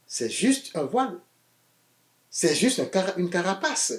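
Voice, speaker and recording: Animated French-speaking preacher man, echoey. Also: high-pitched, a little slow. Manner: animated, swings between loud emphasis and quiet asides, repeats words. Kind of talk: sermon.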